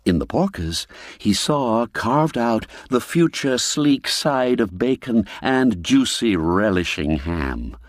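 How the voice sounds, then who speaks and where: A man narrating a classic folk tale in a theatrical, deliberate manner, savouring pauses and key words. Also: fairly low pitch, big pitch swings, no echo, studio quality. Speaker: audiobook narrator.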